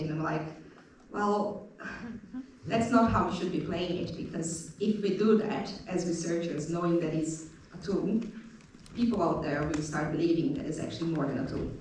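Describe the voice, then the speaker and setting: A woman's voice: fairly low pitch, slight background noise. Chatty woman panelist in an echoey room. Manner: lively and chatty, with light joking. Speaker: panelist, senior lecturer. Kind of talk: opening remarks on a panel. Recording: very echoey room, distant mic.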